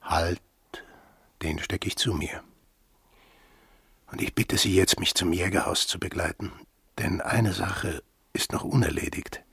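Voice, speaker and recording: Very husky, deep, brooding sailor. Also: male voice, measured pace, long pauses, sharp tone, no room sound, studio quality, heavily acted. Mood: brooding, resolute. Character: brooding, sardonic, defiant male sailor. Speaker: actor in radio drama. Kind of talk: radio drama acting.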